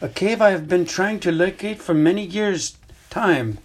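A man voicing a calm, grave old male wizard headmaster in a gentle, measured way, with a husky voice. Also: high-pitched, voice pushed up.

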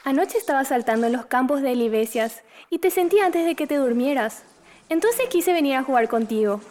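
A woman playing a gentle, playful girl from the moon in an audio drama. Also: sits high, animated, a little fast. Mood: friendly, earnest. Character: playful, gentle moon girl, mysterious blue-haired child visitor.

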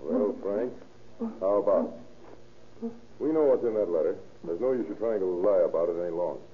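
An American actor playing a brisk, commanding police captain in an old radio crime drama. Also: male voice, low voice, lively intonation. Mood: firm. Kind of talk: 1930s radio drama acting. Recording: noisy, tinny old radio recording.

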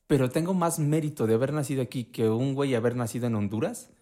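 A man with a Mexican accent, talking in the middle of his pitch range. He chats in a slangy, profane way, punching up quoted lines with animated energy. The style is a relaxed podcast conversation. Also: quick pace. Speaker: podcast co-host. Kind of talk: casual conversation.

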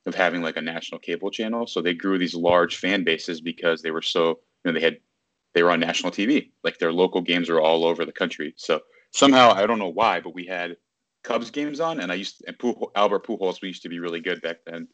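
A man's voice: low voice, fast talker, fairly bright tone, dry recording, muffled recording. A man in his thirties from Virginia, a baseball journalist, talking casually from his city apartment and often correcting himself mid-sentence. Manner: rambling, self-correcting, lots of fillers. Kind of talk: casual interview on a video call.

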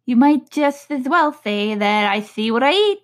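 A woman voicing an odd, rude male hatter who talks slowly and emphatically. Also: high-pitched, lively intonation, pitched above the performer's own voice.

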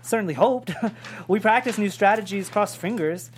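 A man's voice: high-pitched, normal speed, a little hiss or hum, lightly acted. Man playing awkward young gamer, humming room. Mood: awkward, sheepish. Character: crude, awkward, dim young male pro gamer. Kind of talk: comedy pilot table read.

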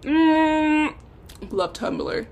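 A woman's voice: sits high, expressive, drawn-out words, a little mellow. A young woman hosting an unscripted solo podcast, talking in a rambling, hesitant, self-deprecating way. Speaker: podcast host.

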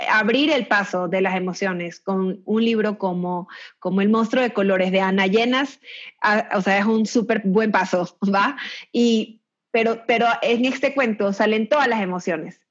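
A Mexican woman chats with an easy, animated flow, often restarting her sentences and laughing. Her voice sits at an ordinary middle pitch and comes through a slightly dull, lo-fi video-call line. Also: unhurried. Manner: chatty, with frequent restarts and laughs. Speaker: guest recommending children's books. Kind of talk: casual chat.

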